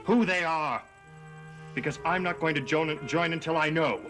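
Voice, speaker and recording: A troubled young man, played by a young actor, speaks in a fairly high voice in an earnest, hesitant way. It sounds like 1960s soap opera acting, with music playing behind him on an old TV soundtrack. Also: normal speed, fairly bright tone, lightly acted. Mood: defiant.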